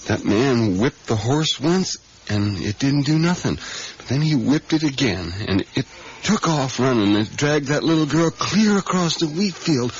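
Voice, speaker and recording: An actor playing a haunted, obsessive diner worker in a radio drama, with folksy, plain-spoken rural speech and hesitant, repetitive, intense storytelling. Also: male voice, medium pitch, animated, measured pace, natural voice. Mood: agitated, anguished. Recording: dull, noisy 1980s radio recording.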